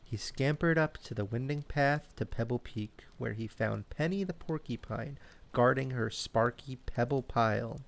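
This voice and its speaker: A man telling a scripted children's bedtime story in a gentle, cozy, expressive way. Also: medium pitch, measured pace, vocal fry. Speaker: storyteller and host.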